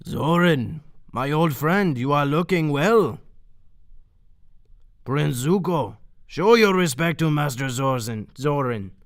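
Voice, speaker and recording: A man's voice: a little high, expressive, slow. A man voicing a courteous old uncle, a firm but friendly elder in an audio drama, speaking formally. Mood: warm greeting. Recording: clear background noise and clicks.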